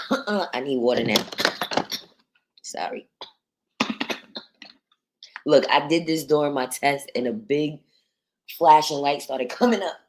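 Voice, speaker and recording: A woman from New York with a deep voice, hosting a casual livestream, reading viewer comments and talking bluntly with plenty of profanity. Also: lively intonation, slow pace, pauses often, a little mellow. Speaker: livestream host.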